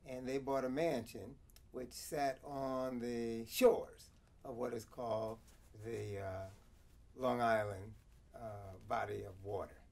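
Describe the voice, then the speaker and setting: An American man in his seventies recounting personal history in an interview, with deliberate, measured and formal phrasing. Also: medium pitch, fairly even intonation, vocal fry, darkish tone. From Connecticut, USA. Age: 70s. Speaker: interviewee, former attorney.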